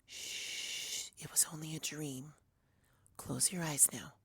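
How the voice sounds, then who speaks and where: A man doing a hushed, timid pony mare. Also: on the high side, fairly even intonation, takes it slowly, gravelly, slightly rough, no room sound, in a whisper, pitched above the performer's own voice, full character voice. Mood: soothing. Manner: soft, hushed, soothing. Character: timid gentle young female pony.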